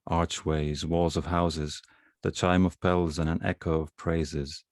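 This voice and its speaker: A man with an Estonian accent and an extremely low, flat voice reads his poetry at an unhurried pace. He pauses and hesitates between poems. The sound is dry and close, with no room behind him. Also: no echo. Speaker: guest poet. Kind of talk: poetry reading.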